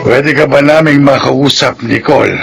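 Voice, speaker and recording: An actor in a Filipino radio drama playing a concerned, protective older uncle who admonishes earnestly. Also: male voice, mid-range voice, normal speed, creaky, music in the background, close to an everyday voice. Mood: grave, admonishing.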